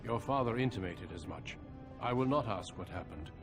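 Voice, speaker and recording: A composed warrior commander from a fantasy game, voiced by a man. He speaks formally and courteously in a low register, with music clearly audible underneath. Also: animated, moderate pace, natural voice. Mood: calm, understanding. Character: composed, kindly male warrior commander, diplomatic and wise. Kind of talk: video game cutscene acting.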